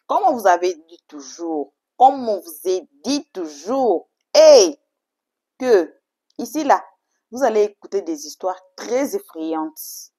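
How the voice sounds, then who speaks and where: A woman's voice: mid-range voice, big pitch swings, slow, dark tone. A woman whose first language is English, chatting to viewers as a channel host in an emphatic, repetitive way. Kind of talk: casual, chatty introduction to story videos.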